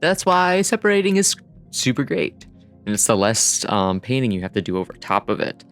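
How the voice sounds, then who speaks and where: Male vlogger, smooth clean voice, casual rambling how-to narration, music underneath. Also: mid-range voice, big pitch swings, normal speed, fairly bright tone. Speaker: digital artist narrating a dev vlog. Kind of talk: casual rambling tutorial voice-over.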